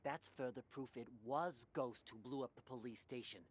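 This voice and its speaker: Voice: medium pitch, normal speed, lightly acted. An actor playing a wary undercover hospital patient on a hunt, in a radio drama. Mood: puzzled.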